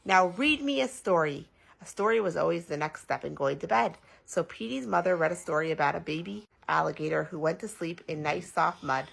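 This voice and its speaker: A woman reading a children's bedtime story aloud in an expressive, animated way, drawing out some words. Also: sits low, a little fast, vocal fry, hardly any pauses, breathy edge, rough recording.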